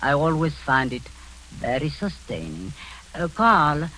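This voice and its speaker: A woman's voice: very animated, a little slow, full character voice, low-quality recording. Sinister old woman, purring. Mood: sinister, coaxing. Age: 60s. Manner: soft, purring, insistent, with low chuckles. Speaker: Aunt Rita, Miss Crable. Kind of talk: 1940s radio acting. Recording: old radio broadcast with faint hiss.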